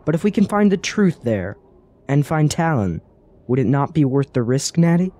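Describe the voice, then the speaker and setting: An actor playing a thoughtful, questioning adventurer in a fantasy radio drama, earnest and persuasive, with formal phrasing. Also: male voice, on the high side, sing-song, moderate pace, mellow.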